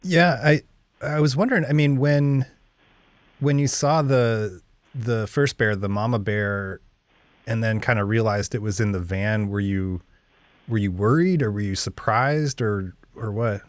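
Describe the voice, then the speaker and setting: A man's voice: on the low side, unhurried, dry recording. A man asking questions as a reporter in a casual, tentative and loosely phrased conversational way. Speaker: interviewer, reporter.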